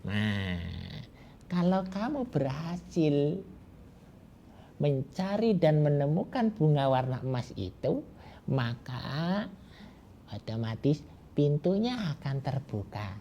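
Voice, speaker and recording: A man's voice: fairly high pitch, very animated, dark tone, muffled recording, a little hiss or hum, heavily acted. A man voicing a kindly old grandfather who chuckles and draws out his words while giving a hint. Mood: knowing. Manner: chuckling, drawn-out speech.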